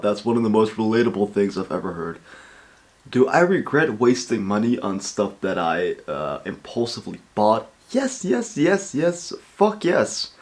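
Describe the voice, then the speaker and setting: A man hosting a reaction video, talking casually with informal slang and swearing. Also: mid-range voice.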